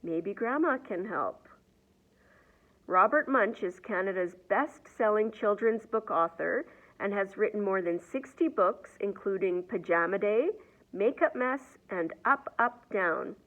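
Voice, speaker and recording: Lady reading a picture book to kids, takes it slow, expressive, thin phone-like audio. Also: medium pitch. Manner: expressive, asks the young listeners questions. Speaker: read-aloud storyteller. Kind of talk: animated children's picture-book read-aloud.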